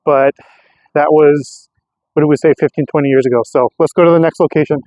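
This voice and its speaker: A man's voice: fairly high pitch, steady tone, a little fast, a little mellow, no room sound. A man talking casually as a vlogger during a field test of radio gear, in slightly muffled audio.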